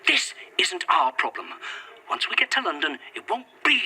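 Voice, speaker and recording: A man's voice: sits high, wildly swooping pitch, moderate pace, bright, forceful tone, breathy edge, heavily acted. A man acting an irritable, sweary corporate executive at breaking point in a found-footage audio drama, snappish and sarcastic. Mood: indignant. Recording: faint noise, found-footage recorder.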